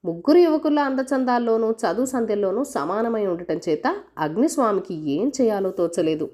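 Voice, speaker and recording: Woman, high voice, lively intonation, storyteller, scripted children's folk tale narration. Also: normal speed.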